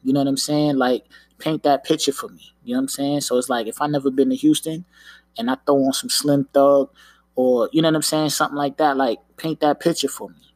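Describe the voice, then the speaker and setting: A man's voice: medium pitch, steady tone, brisk, no room sound. Laid-back New York man rambling. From Long Island, New York. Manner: laid-back, rambling, lots of filler and "you know what I'm saying". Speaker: podcast host. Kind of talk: casual solo podcast rant. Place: home room, downstairs.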